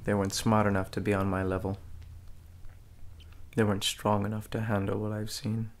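Young guy doing asmr as a cocky menacing gang leader, very gravelly, slow n hushed, pretty flat. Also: on the low side. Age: young adult. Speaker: ASMR performer. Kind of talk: ASMR role play, scripted monologue. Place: small dim room indoors.

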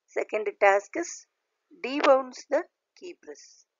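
A woman lecturer reading a scripted slide lesson aloud in a deliberate, halting way, in short phrases. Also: fairly high pitch, animated, no room sound. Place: voice-over recorded over slides. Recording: dull, lo-fi voice recording.

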